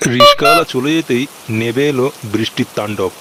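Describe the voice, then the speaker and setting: A man's voice: medium pitch, fairly quick. A man narrating a scripted horror cartoon story. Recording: noisy recording with background ambience.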